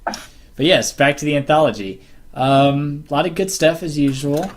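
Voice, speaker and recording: A man co-hosting a casual group podcast, talking haltingly as he searches for words. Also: fairly high pitch. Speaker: co-host of a fan podcast. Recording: clear background noise and clicks.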